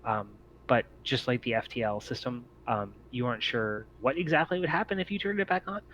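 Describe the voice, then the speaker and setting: A man's voice: medium pitch, fairly quick, fairly bright tone, muffled recording, a little hiss or hum. A man narrating a tabletop role-playing game as game master, explaining things informally.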